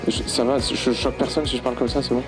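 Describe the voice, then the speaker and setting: A man's voice: medium pitch, little pitch movement, quick pace, over loud music, low-quality recording. A man, a priest, giving an informal and playful talk to young people. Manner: colloquial, playful.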